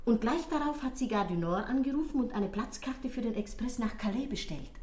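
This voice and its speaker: A woman's voice: on the high side, animated, a little fast, darkish tone, natural voice. An actress as a talkative concierge in a radio play, heard in a roomy, echoing space. She is polite and obliging, with an eager streak of gossip in the way she speaks. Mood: chatty, obliging. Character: obliging, chatty female concierge of an apartment house. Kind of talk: German radio drama acting.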